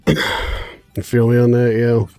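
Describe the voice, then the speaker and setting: A man's voice: mid-range voice, monotone, slow pace, darkish tone, dry recording, soft background music. Congested, nasal-sounding man streaming. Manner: chatty, laid-back, thinks aloud with fillers. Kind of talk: casual gaming livestream chat.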